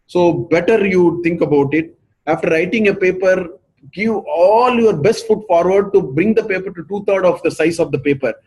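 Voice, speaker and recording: A man's voice: high voice, normal speed, a little mellow, breathy edge, low-quality recording. A middle-aged man with an Indian accent giving an earnest lecture, repeating his points for emphasis. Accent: Indian English. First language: not English. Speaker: professor giving a research talk.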